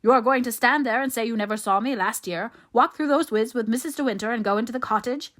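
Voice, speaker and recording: Lady doing a nasty bullying guy from a novel, rough, pushy, sneering, short fuse. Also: medium pitch, expressive, moderate pace. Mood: angry, bullying. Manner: rough, goading, insistent. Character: bullying, sneering man, quick to anger.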